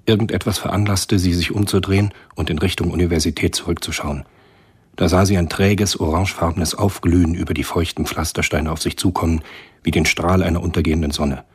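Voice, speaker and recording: A man narrating a spy thriller radio drama in a measured, literary storytelling manner. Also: extremely low pitch, slightly rough, exaggerated voice.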